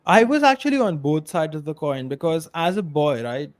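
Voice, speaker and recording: A man from India with a fairly high voice, a podcast host chatting casually and filling his talk with "you know" and "like". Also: very animated, normal speed. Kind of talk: casual interview conversation.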